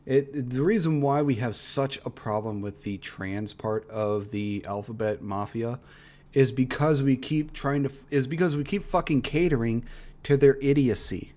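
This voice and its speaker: A man's voice: mid-range voice, moderate pace, a little mellow. A man giving casual, opinionated reaction commentary as a streamer, speaking bluntly and swearing freely. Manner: blunt, profane. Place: livestream reaction recording.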